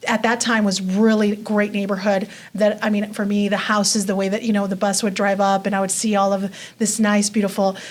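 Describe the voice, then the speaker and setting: A woman's voice: medium pitch, level tone, fairly quick, hardly any pauses. Chatty woman guest telling her story. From Mexico. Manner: chatty, lots of fillers like "you know" and "like". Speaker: interview guest. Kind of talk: casual storytelling.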